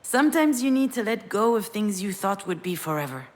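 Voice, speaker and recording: A woman's voice: mid-range voice, sing-song, a little fast, darkish tone. An actress playing a vulnerable, wry, grieving woman writer in a narrative video game, reflective and confiding in a naturalistic style. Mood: gentle, wry.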